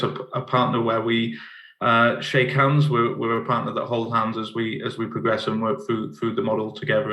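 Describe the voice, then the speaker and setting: A middle-aged man with an English accent, a managing director giving a conference talk over a video call, halting and often repeating his words. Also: medium pitch, sharp tone. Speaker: managing director of partner company. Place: office in Cape Town. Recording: roomy echo.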